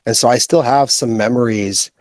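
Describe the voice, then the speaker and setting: A man with an American accent giving earnest opinions in a casual, halting way in an online group chat. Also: medium pitch, darkish tone, slight background noise. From born in Russia, raised in the United States from age five. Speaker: guest speaker in online group audio chat.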